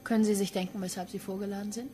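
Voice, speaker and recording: A woman's voice: mid-range voice, little pitch movement, racing, warm tone, soft background music, natural voice. An actress playing a cool, firm female police investigator in a crime radio play, dry and insistent. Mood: stern. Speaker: actor in a crime radio drama. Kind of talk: German radio play acting.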